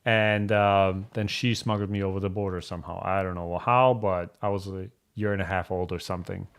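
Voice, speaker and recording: A man's voice: deep voice, steady tone, a little fast, clean tone. A man from Germany hosting a casual live question-and-answer chat, speaking in a candid, blunt and rambling way. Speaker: host answering viewer questions.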